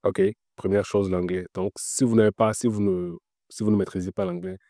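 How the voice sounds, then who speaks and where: Guy giving career tips in an interview, kinda low and mellow, keeps repeating himself, lots of fillers. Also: fairly even intonation, a little fast, no echo, studio quality. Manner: explanatory, repeats points, frequent filler words. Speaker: interview guest working in Web3. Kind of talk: casual advice-giving interview.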